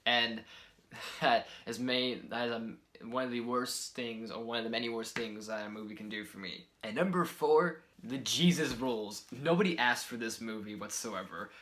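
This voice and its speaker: Soft-spoken man ranting about films. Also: medium pitch, normal speed, slight background noise. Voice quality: soft, near-whispered delivery. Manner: exasperated, rambling, many fillers. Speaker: movie reviewer. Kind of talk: casual ranking commentary.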